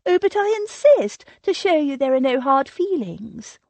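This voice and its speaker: A woman putting on a high, gushing society wife. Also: sing-song, unhurried, darkish tone, no room sound, heavily acted. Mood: pleading, gushing. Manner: effusive, pleading, breathless.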